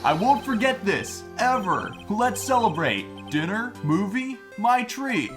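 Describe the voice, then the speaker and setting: A man's voice: high-pitched, very animated, unhurried, over music. A man voicing a whiny, lovelorn young slacker with an overwrought, slangy delivery in a fan-dubbed game. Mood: eager. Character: melodramatic, whiny young male slacker, lovelorn best friend. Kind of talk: fan-dub video game dialogue.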